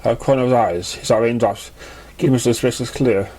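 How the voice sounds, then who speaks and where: Man haltingly narrating a story. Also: mid-range voice, dark tone, poor audio. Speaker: story reader. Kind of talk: scripted narration of a fan fiction story.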